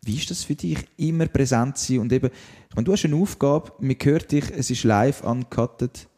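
A man's voice: medium pitch, moderate pace, warm tone. A man with a Swiss German accent, interviewing a guest in a friendly, playful way. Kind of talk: casual interview.

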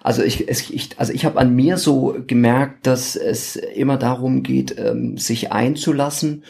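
A man in his forties with a Thuringian German accent, a podcast guest chatting casually and haltingly with many fillers and restarts. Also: mid-range voice, fairly even intonation, creaky, darkish tone. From eastern Germany. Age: 40s. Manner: hesitant, many ums and restarts. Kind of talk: casual interview chat.

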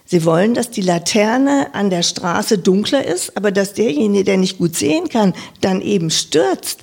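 A middle-aged woman with a northern German accent, her voice gravelly with a husky edge. She talks reflectively in an interview, stopping often for fillers as she searches for her words. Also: mid-range voice, expressive, moderate pace, a little mellow. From Lower Saxony, northern Germany. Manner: hesitant and reflective, many fillers. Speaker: interviewee, local council member. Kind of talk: casual interview talk.

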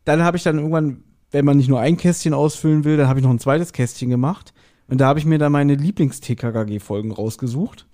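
A man's voice: fairly high pitch, a little fast, mellow. A man co-hosting a podcast, recapping a story in casual, chatty conversation. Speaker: podcast co-host. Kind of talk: casual chat recapping an audio drama episode.

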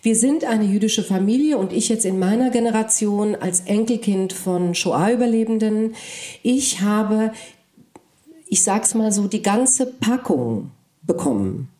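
A woman's voice: medium pitch, lively intonation, a little slow, dark tone, reverberant. A woman, a native Polish speaker who grew up in Germany, giving a thoughtful, searching interview answer with frequent hesitations. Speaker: interview guest. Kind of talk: reflective conversation.

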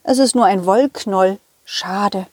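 A woman voicing a cheerful, confident dog hero in a children's audio play, animated and coaxing as the dog talks to young listeners. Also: medium pitch, slow pace, slightly rough, no room sound. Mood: chatty, upbeat.

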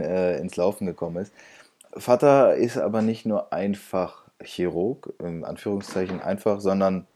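Low-voiced relaxed male podcast host. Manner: relaxed, laughs often, loose restarts. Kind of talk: casual interview chat.

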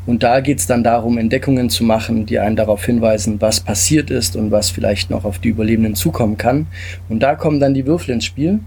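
A man with a husky, slightly breathy voice at an ordinary middle pitch. He explains his tabletop game step by step in a calm, patient way, pausing often on filler sounds. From Italy. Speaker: game designer, guest.

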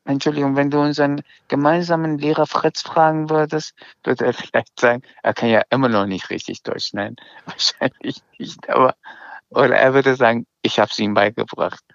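A man's voice: medium pitch, fairly even intonation, moderate pace, creaky, a bit bright, dry recording. A middle-aged man from Iran, Azerbaijani speaker, telling his story hesitantly in a casual interview, with many restarts and fillers. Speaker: interview guest. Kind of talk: casual conversation. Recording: slightly dull lo-fi sound.

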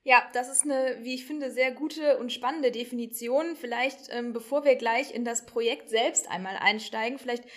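A woman's voice: on the high side, moderate pace, few pauses, a bit bright. A woman hosting a podcast, introducing a guest and asking questions in a conversational interview style. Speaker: podcast host.

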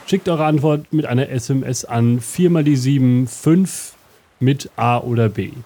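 A man hosting an interactive audio adventure as game master, with a rambling, absent-minded, deadpan delivery. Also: mid-range voice, a little slow, a little hiss or hum. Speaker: game master and show host.

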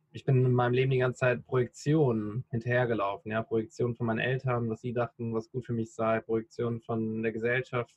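A man's voice: medium pitch, a little mellow, no echo. A man in his thirties from the Rhineland, a coach and podcaster, talking reflectively in an interview with many fillers and restarts. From Rhineland, Germany. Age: 30s. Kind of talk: casual interview talk. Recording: dull, lo-fi sound.

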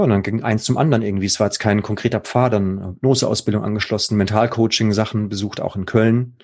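A man's voice: fairly low pitch, darkish tone. A man, a mental coach talking casually and enthusiastically in an interview. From Baden, Germany. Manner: enthusiastic, rambling, slangy.

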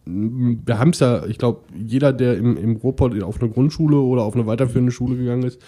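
A man's voice: medium pitch, brisk, dark tone. A man from the Ruhr area with a Ruhr German accent, chatting casually and joking with many fillers. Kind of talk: casual chat.

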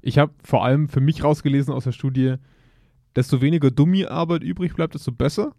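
A man co-hosts a casual podcast chat from an office. His voice is gravelly with vocal fry and has a dark, relaxed tone. The sound is dry and close. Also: fairly high pitch, fairly quick, no echo. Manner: dry, wry remarks. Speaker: podcast co-host.

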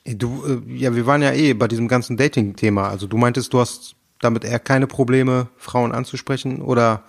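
Young guy podcast cohost, normal pitch, easygoing casual chat, throws in comments and questions. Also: steady tone, brisk, gravelly, few pauses. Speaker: podcast co-host.

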